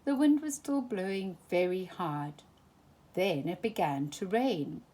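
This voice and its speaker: Husky, slow storybook-reading woman. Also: lowish voice. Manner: expressive, animated read-aloud. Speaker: storytime reader. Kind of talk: reading a children's picture book aloud.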